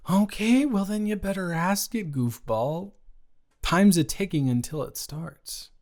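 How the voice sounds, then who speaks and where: A man playing a teasing, supportive male roommate in an improvised romantic audio roleplay, talking casually and stammering, with animated outbursts. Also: high-pitched, a little slow. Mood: playful, teasing. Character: male roommate and friend, teasing, supportive, indignant on her behalf.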